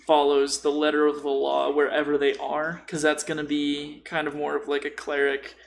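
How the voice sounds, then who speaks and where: Guy w creaky voice talking tabletop games, sweary n opinionated, bit of noise behind him. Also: a little high, completely flat delivery, moderate pace. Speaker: podcast guest. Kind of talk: casual chat about tabletop role-playing.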